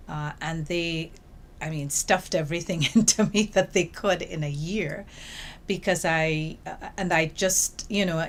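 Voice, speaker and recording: An older woman speaks with a Trinidadian accent in a low, warm-set register, telling her story in a lively way. A faint hiss sits under the recording from a small office. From Trinidad and Tobago. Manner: lively storytelling, acts out quoted remarks. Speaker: interviewee, UN information officer. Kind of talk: reflective interview answers.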